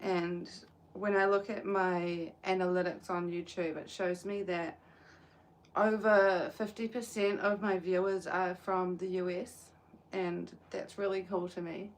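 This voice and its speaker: A woman's voice: fairly low pitch, flat delivery, measured pace, rough recording. A young woman with a New Zealand accent, chatting hesitantly through a crochet livestream while sitting outdoors in the sun. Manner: soft-spoken and hesitant, with frequent "um"s. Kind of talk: casual livestream chat.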